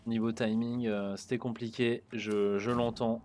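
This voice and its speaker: A man's voice: medium pitch, flat delivery, a little slow, background noise. A man streaming a video game with casual, chatty commentary, muttering and swearing as he plays. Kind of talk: casual let's-play commentary.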